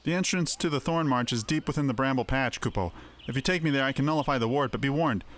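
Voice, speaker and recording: A man's voice: mid-range voice, fast talker, a bit bright, slight background noise, close to an everyday voice. A man voicing a small, timid but earnest moogle creature in a fantasy video game. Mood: worried, earnest.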